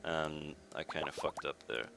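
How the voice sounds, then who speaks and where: A young Swedish man with a Swedish accent commentating his speedrun in a relaxed, rambling way, with many pauses and fillers. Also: extremely low pitch, vocal fry. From Sweden. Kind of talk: casual live commentary over gameplay. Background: game music.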